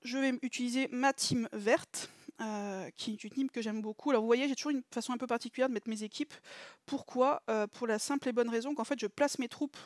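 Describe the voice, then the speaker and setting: A woman hosting a casual gameplay debrief for her viewers, talking in a chatty, hesitant way with lots of filler pauses. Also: fairly high pitch, fairly even intonation, no room sound, studio quality. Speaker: mobile game channel host.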